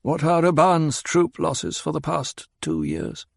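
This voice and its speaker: A man voicing a cold, sardonic old advisor who speaks in clipped, cutting sentences, pitched higher than the narration. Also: very animated, slow pace, vocal fry, no echo, studio quality, exaggerated voice. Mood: scornful. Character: cold, scornful old male mentat advisor.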